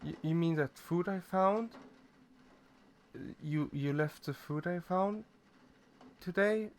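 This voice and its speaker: A man's voice: sits high, slow pace. A man playing a shy, clueless young catboy pet in a roleplay audio drama, hesitant and stammering as he talks to the listener. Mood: puzzled, accepting. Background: ambient noise, rain.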